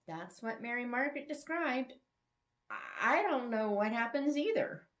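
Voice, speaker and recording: A woman's voice: on the high side, lively intonation, measured pace, a bit bright, highs cut off, pitched above the performer's own voice. A woman voicing a shy, smitten young man in a romance story, hesitant and awkward.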